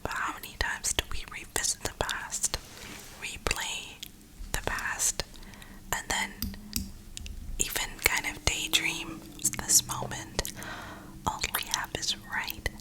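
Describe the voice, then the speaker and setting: A woman whispering a reflective, rambling ASMR monologue in a breathy, unhurried way, with drawn-out pauses. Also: sharp tone. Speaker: ASMR video creator.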